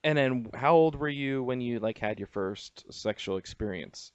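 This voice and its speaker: A man's voice: medium pitch, expressive, moderate pace, clear, resonant tone. A man hosting a radio interview in a casual, jokey, slangy and crude way. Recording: muffled roomy lo-fi radio interview audio.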